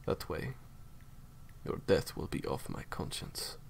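A man's voice: slow, slight background noise, natural voice. A man playing a menacing, cold villain in an audio roleplay, speaking in formal, theatrically polite threats. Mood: quietly threatening. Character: menacing, courteous male villain, cold captor. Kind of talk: roleplay audio drama monologue.

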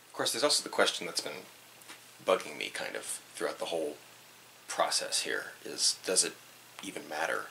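Young guy thinking out loud, low voice, kinda bright, faint hiss behind. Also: very animated, normal speed, gravelly, poor audio. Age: young adult. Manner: thoughtful, hesitant, repeats words while searching. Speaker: vlogger. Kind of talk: reflective personal documentary talk. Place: small bedroom indoors.

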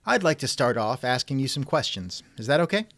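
Theatrical, animated man hosting comedy. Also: medium pitch, brisk, dry recording. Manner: theatrical, wisecracking. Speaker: podcast host and interviewer.

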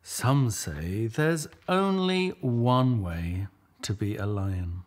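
A middle-aged man reading a picture book aloud with measured, expressive storytelling and a husky voice. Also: fairly low pitch. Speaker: storybook reader.

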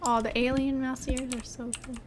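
A young woman chatting excitedly with friends while playing a game, often gasping and laughing. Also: high-pitched, moderate pace. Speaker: player. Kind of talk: casual gaming chat. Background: game music.

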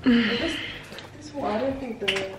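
A woman's voice: fairly high pitch, measured pace, a crowd in the background. A woman in her twenties talking casually on a travel vlog, indoors in a room.

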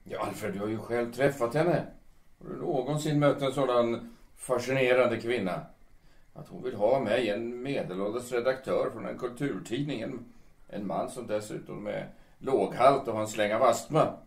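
A man's voice: mid-range voice, creaky, natural voice. A man playing an earnest, lovestruck middle-aged editor in an audio drama, speaking in formal, hesitant phrases. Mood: patient, fond. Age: late 30s. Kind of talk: audio drama dialogue in Swedish. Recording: echoey room.

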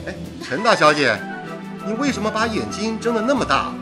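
A man's voice: high voice, very animated, brisk, loud music behind the voice. An actor playing a teasing, carefree young village-born man in a radio drama, speaking with playful exclamations. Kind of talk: Mandarin radio drama acting.

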